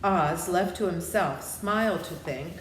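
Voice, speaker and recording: A woman's voice: fairly low pitch, unhurried. A woman reading a children's story aloud, with friendly asides to her listeners. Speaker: storybook reader. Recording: roomy echo with background noise.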